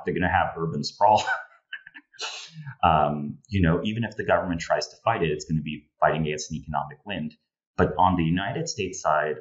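A man in his thirties with a Slovenian accent, explaining his analysis discursively in an interview over a video call. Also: deep voice. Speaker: guest political analyst. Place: home room with bookshelves, indoors. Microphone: earphone headset on video call. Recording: slightly dull video-call audio.

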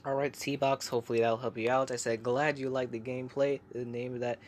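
Young man reading comments, hushed. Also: medium pitch, level tone, fairly quick, a little hiss or hum. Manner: chatty, hesitant, many fillers and restarts; kept quiet so family can sleep. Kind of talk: casual comment reaction. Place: home laundry room.

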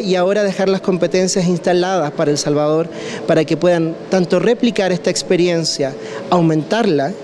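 A man, an audiovisual communicator giving a measured, explanatory interview answer into handheld reporter mics. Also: sits high, warm tone, slight background noise. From Chile. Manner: measured, long explanatory sentences. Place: indoors by a stairwell with plants. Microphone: handheld reporter mics held up close.

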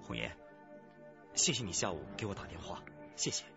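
A man's voice: low-pitched, big pitch swings, normal speed, vocal fry, muffled recording, heavily acted. An actor playing a desperate, evasive man on the run in a radio drama. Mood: hesitant, evasive. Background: music.

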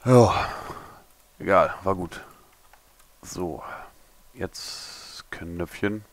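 A young man, a little hungover and hoarse, mumbling and thinking aloud as he builds in a game tutorial. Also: low voice, extremely slow. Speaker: gaming tutorial creator. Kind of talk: casual commentary while building.

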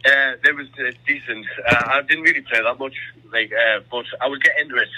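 A man's voice: medium pitch, brisk, sharp tone. A young man talking hesitantly and agreeably in a phone conversation, over a tinny telephone line. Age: young adult. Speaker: army applicant, prank call target.

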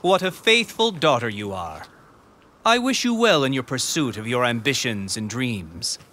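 An actor playing a sly middle-aged court strategist in a video game, with a measured, insinuating manner. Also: male voice, mid-range voice, big pitch swings, bright, forceful tone. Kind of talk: dramatic video game voice acting.

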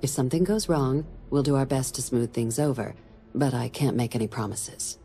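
An actress playing a composed woman diplomatic official in a game, giving a briefing in a measured, formal, dry way. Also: extremely low pitch, vocal fry, mellow, faint music behind. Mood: businesslike. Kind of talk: scripted game dialogue, mission briefing.

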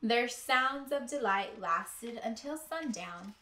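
Slow, bright-voiced young woman reading aloud. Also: a little high, animated. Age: young adult. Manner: deliberate, emphatic storytelling for children. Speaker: teacher reading aloud to children. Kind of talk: expressive picture-book read-aloud. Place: indoor room with bookshelves. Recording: roomy indoor echo.

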